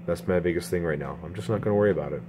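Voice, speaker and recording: A man in his twenties talks to his viewers through a phone camera, earnest and halting, with a strained throat. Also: low voice, noisy background. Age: 20s. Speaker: YouTuber. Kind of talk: casual talk to camera.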